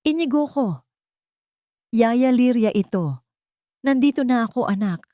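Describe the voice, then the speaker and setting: A woman voicing a wronged young nanny in an audiobook drama, firm and intense, with deliberate phrasing. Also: a little high, lively intonation, dark tone, dry recording, clean studio sound, voice pushed up. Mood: tender whisper.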